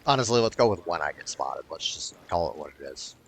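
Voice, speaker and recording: A man with a low, fry-heavy voice commenting casually on his game. He rambles, swears and jokes as he plays, with some game noise behind him. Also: sing-song, brisk. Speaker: gaming YouTuber.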